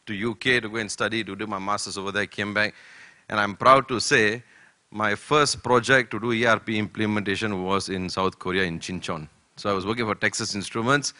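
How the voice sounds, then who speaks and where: Bright-voiced man giving a keynote. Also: lowish voice, no echo. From Malaysia. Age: older. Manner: slow deliberate delivery with many fillers. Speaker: keynote speaker. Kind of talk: conference keynote talk. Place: conference hall.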